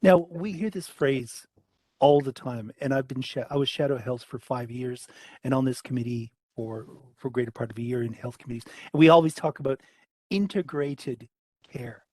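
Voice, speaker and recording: An older man with a Canadian accent puts questions to witnesses at a parliamentary hearing. He speaks at a middling pitch, probing and deliberate, and leans on the key words. His voice is close and dry, with no room sound. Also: a little mellow, no echo. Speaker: committee member questioning witnesses. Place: home study, indoors. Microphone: headset on video call.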